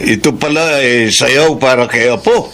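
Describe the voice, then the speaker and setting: A man's voice: medium pitch, measured pace, fairly bright tone. A man playing a genial Filipino village chairman in a radio drama, announcing like a friendly event emcee. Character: genial village chairman hosting a senior dance contest.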